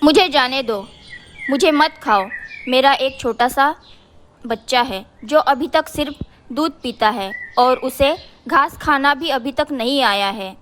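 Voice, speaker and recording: A woman plays a gentle mother cow in a children's cartoon fable. The voice is high and earnest, pleading like a devoted, honest parent. Clear noise sits in the recording. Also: slow. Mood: frightened pleading. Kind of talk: children's animated moral story dialogue.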